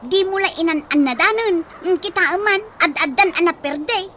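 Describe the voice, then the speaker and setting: A woman's voice: very high-pitched, moderate pace, sharp tone. Woman playing an easygoing older sister. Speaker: elder sister figure called manang. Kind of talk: radio drama acting. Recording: audible background noise.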